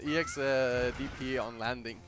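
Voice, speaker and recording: A Norwegian man casting a fighting game match, calling plays fast and erupting at big moments. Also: mid-range voice, background noise. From Norway. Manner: excitable, shouts at big plays. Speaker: commentator. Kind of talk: esports fighting game commentary.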